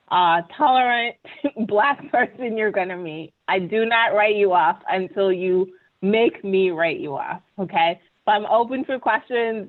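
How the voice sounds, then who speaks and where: A woman from Ghana, giving an earnest, conversational webinar presentation and often checking in with "right?". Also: mid-range voice. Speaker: webinar panelist. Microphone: video call. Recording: tinny video call audio.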